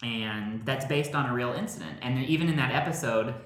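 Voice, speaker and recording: A man co-hosts a casual podcast, telling stories earnestly and slipping in dry jokes. His pitch is middling. The room has a clear echo. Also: brisk, fairly bright tone. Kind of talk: casual comedic chat.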